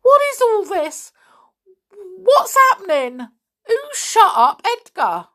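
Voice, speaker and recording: A shifty storybook crook of a father, put on by a woman reader. He squeals in a high, alarmed voice, a coward through and through. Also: slow pace, creaky, a bit bright, in a whisper, exaggerated voice. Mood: bewildered, alarmed.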